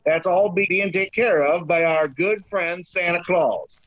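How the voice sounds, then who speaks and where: A man's voice: high voice, a little slow, a bit bright, no echo, muffled recording. A man playing a blustering, plain-spoken American restaurant owner with a folksy, bossy manner in an audio drama. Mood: hearty, eager. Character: gruff, folksy male steakhouse owner, bossy but big-hearted.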